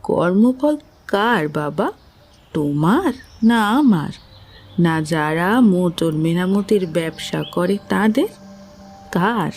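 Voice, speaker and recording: A woman playing a gentle, dignified widowed aunt of about forty in a Bengali audio drama, speaking in a motherly, softly teasing way. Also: lowish voice, expressive, takes it slowly, faint music behind, exaggerated voice. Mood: gently teasing.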